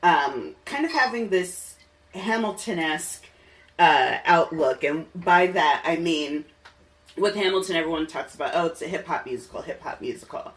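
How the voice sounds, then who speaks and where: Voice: mid-range voice, lively intonation, moderate pace, fairly bright tone. Chatty podcast host, slightly off the mic. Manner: enthusiastic, chatty. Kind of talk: casual interview conversation. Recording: slightly distant mic, music underneath.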